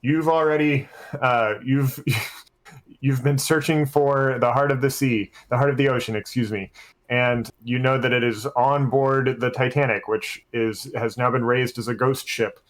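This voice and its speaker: A man's voice: medium pitch, fairly even intonation, measured pace. A man co-hosting a casual tabletop gaming discussion as a game master, telling stories in a rambling, freely swearing way. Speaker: podcast co-host and game master; works at a school.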